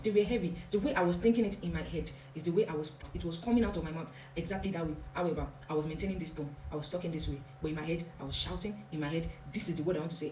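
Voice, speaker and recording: An earnest woman gives reflective, motivational advice and often repeats herself for emphasis. Her voice sits fairly low. The sound is roomy and echoey, with a faint hum underneath. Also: darkish tone. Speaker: vlogger.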